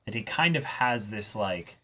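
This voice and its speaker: Casting: male reaction show co-host, bright and forceful, rambling animated conversational delivery. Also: fairly low pitch, a little slow. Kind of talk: casual anime discussion chat.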